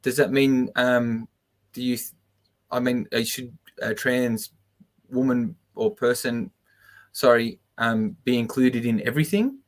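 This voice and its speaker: A man hosts a podcast interview at a middling pitch. He asks questions hesitantly, stopping and correcting himself as he searches for the right words. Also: steady tone, unhurried. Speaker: podcast host.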